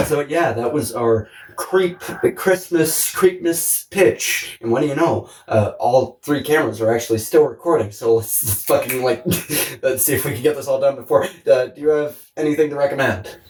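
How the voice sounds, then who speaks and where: A man's voice: mid-range voice, moderate pace, a little mellow, slight background noise. Echoey, distant man rambling casually. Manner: rambling, profane, frequent use of "like". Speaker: podcast co-host. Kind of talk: casual film discussion. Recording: echoey room, distant microphone.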